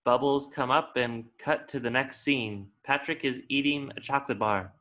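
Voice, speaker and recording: A man's voice: mid-range voice, fairly even intonation, normal speed, bright, forceful tone. A man reading scripted stage directions as the narrator of a table read.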